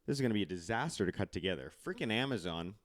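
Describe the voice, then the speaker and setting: A man with a Canadian accent co-hosts a casual podcast from a basement studio. His pitch moves around a lot as he talks. He teases his co-host in a dry, offhand way. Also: very animated, very fast, dry recording. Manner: dry, teasing banter. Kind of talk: casual chat.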